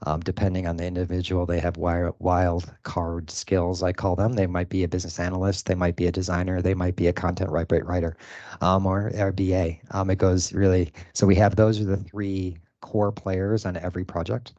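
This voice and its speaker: A man giving a conference talk on his design process in a relaxed way, with many fillers. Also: sits low, normal speed, dry recording, lo-fi sound. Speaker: design presenter and event host. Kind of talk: conference presentation. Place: event venue.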